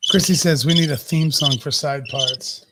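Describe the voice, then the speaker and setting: A man's voice: on the high side, steady tone, normal speed, very noisy. A man in his fifties co-hosting a live podcast, reading viewer comments and trading dry, teasing banter with his co-host. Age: 50s.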